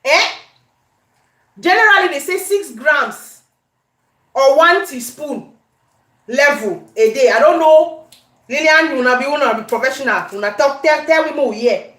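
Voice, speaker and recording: High-pitched Cameroonian woman, echoey room. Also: moderate pace, pauses often. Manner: animated, conversational, repeats questions and phrases. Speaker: cooking vlogger. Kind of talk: casual live chat while cooking. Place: indoor home kitchen.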